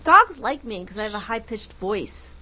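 A woman co-hosting a call-in radio show, chatting loosely with quick jokes and asides. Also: mid-range voice, sing-song, bright, forceful tone, a little hiss or hum. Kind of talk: casual radio chat taking callers.

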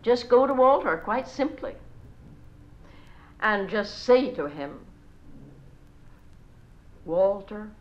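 A woman's voice: mid-range voice, expressive, slow, long pauses. A woman playing a gossipy, bossy society mother in a stage monologue, gushing to friends and scolding her children between sentences, on a dull old recording. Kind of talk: solo stage character monologue.

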